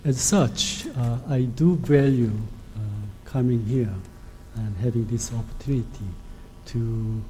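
A middle-aged man from Korea with a Korean accent, an ambassador giving a formal lecture in a halting, soft-spoken way. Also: medium pitch, steady tone, soft background music, low-quality recording. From South Korea. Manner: halting, with frequent hesitations.

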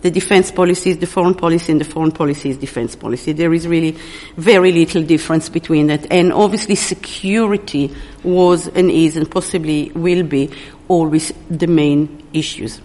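A woman with a fairly low voice gives an academic conference talk about a book. Her delivery is halting, and she often pauses to search for words. The sound rings with the long reverb of a large lecture hall. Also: a little mellow. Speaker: academic presenting an edited book. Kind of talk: conference presentation with slides.

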